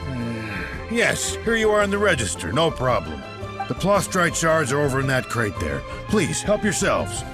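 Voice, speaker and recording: A man's voice: medium pitch, wildly swooping pitch, moderate pace. A man voicing a helpful, obliging warehouse clerk in a game. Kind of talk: game voice acting. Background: game music.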